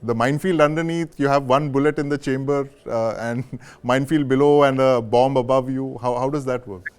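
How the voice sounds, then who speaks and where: A male news show host with an Indian accent and a mid-pitched voice. He presents and questions his guests in the manner of a broadcast interviewer. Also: steady tone, brisk, smooth.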